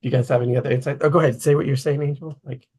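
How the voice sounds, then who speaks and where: A man's voice: medium pitch, fairly even intonation, racing, a little mellow. Casual man in group chat. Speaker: table read host.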